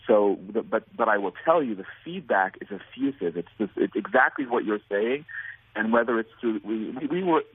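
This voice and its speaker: An American man talks earnestly over a tinny phone line, his voice low and a little husky. He rambles, circling back and restarting his sentences as he explains. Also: animated, normal speed. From United States. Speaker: guest, nonprofit founder. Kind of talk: phone interview.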